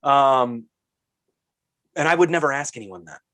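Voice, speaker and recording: A man's voice: medium pitch, lively intonation, measured pace, bright, forceful tone, no echo. A man in his late thirties with a head cold, talking bluntly and offhandedly as a podcast co-host. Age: 30s. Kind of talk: casual chat.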